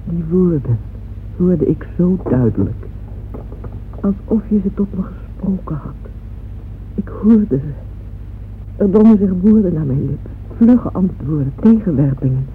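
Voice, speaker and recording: A woman's voice: fairly low pitch, lively intonation, a little hiss or hum. An actress playing a weary old Russian noblewoman, speaking wistfully and reflectively in a radio drama monologue. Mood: agitated remembering. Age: older. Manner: slow, reflective, intimate address. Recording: muffled old radio recording, music behind.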